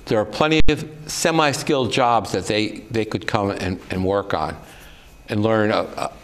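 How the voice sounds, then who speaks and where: A man's voice: lowish voice, normal speed. An older man on a political talk show, speaking in an opinionated, conversational way. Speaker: show co-producer and guest.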